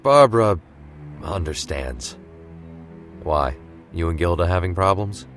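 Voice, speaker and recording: Male actor as veteran cop, low steady voice, dry and upright, audio-drama scene with light score. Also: faint music behind. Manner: measured, firm, dry. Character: steady, principled veteran police captain, adult man. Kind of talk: comic-book audio drama acting.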